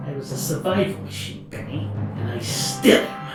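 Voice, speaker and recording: A man playing a gruff, defiant wolf adventurer in a comic fantasy audio drama. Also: a little high, moderate pace, lightly acted. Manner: defiant, dismissive. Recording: echoey hall, distant, music underneath.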